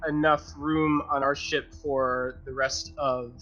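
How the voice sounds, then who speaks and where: A man's voice: medium pitch, little pitch movement, slow pace, bright, forceful tone, highs cut off. A man talking casually as a player in a tabletop roleplaying game.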